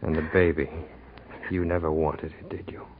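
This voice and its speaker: An actor playing a troubled, accusing husband with grave intensity, in 1940s radio drama style. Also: male voice, extremely low pitch, flat delivery, moderate pace. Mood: grief, resolve. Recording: dull lo-fi 1940s radio recording.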